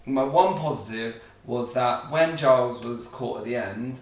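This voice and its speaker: A man gives blunt, critical opinions in casual chat, stopping and restarting as he looks for words. His pitch sits in the middle range. The sound is distant and echoey. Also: measured pace. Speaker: reaction video co-host. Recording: roomy sound from a distant mic.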